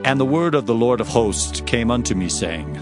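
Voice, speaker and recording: A man's voice: medium pitch, lively intonation, normal speed. A man narrating scripture dramatically in a solemn, declamatory manner. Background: music.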